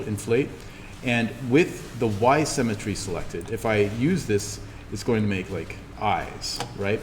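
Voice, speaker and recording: A man giving an informal in-class software tutorial as the instructor, thinking aloud haltingly as he demonstrates. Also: lowish voice. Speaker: college instructor. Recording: reverberant room, clearly noisy background.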